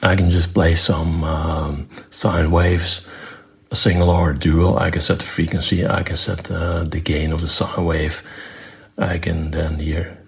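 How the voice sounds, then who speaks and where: A man presenting an unscripted technical demonstration in a matter-of-fact, step-by-step way, with halting phrasing. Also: low-pitched, level tone, vocal fry. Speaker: presenter demonstrating own electronics project.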